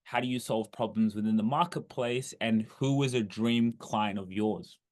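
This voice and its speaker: Podcast host dude, lowish voice, rambly and encouraging. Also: male voice, moderate pace, a little mellow. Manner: encouraging, rambling questions with restarts. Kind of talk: casual podcast interview.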